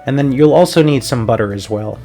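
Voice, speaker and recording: A man's voice: mid-range voice, a little fast, mellow, soft background music. A young man from Puerto Rico hosting a casual cooking vlog, walking through a recipe in a chatty, upbeat way. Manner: upbeat, chatty, step-by-step instructions.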